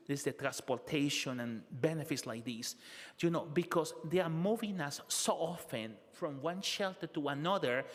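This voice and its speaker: Man, interpreter, first-person interpreting, halting, frequent "eh" fillers, slightly high voice, reverberant council chamber. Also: a bit bright. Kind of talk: consecutive interpretation of public testimony. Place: city council hearing room.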